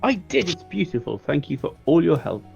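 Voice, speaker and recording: A man's voice: fairly high pitch, big pitch swings, a little fast, vocal fry, darkish tone, highs cut off, faint music behind. A man playing a tabletop roleplaying game, talking casually in and out of character.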